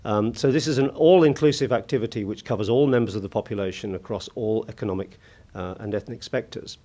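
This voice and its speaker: A British man with a husky voice giving a formal conference talk from a lectern, measured and full of hesitant fillers. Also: lowish voice, warm tone. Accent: British English. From United Kingdom. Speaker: conference keynote speaker. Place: large conference hall at a lectern. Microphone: lectern microphone.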